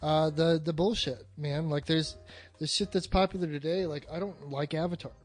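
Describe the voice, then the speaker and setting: A man's voice: fairly high pitch, fairly even intonation, normal speed, soft background music. A man talking casually and emphatically about films as a podcast co-host, opinionated and swearing freely. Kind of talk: casual film discussion chat.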